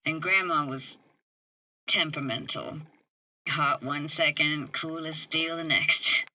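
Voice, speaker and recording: Young female techie, streetwise, wry and tender, sharp bright tone, unhurried, dry close sound, game dialogue. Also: low voice, steady tone, heavily acted, poor audio. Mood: wistful. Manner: casual clipped slangy delivery. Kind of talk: video game character dialogue.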